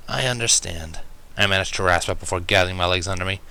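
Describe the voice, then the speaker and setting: A man's voice: low-pitched, completely flat delivery, very fast, bright, forceful tone, clean tone. A man narrating a first-person story in a dramatic reading, with music behind him. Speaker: fan fiction reader. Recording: music under the voice.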